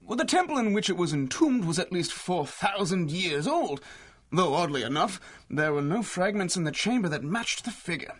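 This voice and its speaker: An actor playing a courteous, excitable older archaeologist with a fussy, hesitant manner, in a 1930s-style radio drama. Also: male voice, high-pitched, normal speed. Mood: surprised, curious.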